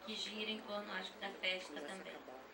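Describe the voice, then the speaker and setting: A Brazilian woman moderating a session informally and off the cuff, halting and correcting herself as she goes. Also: mid-range voice, lively intonation, a loud crowd. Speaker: session chair introducing speakers. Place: echoey session room at a university dance school. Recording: echoey room, distant mic, clear noise.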